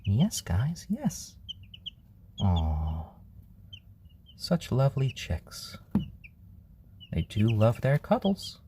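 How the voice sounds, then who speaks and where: A man's voice: medium pitch, huge pitch swings, drawn-out words, pauses often, mellow, a little hiss or hum. A man hosting a casual live stream, chatting easygoingly with viewers and cooing over his baby chicks at home.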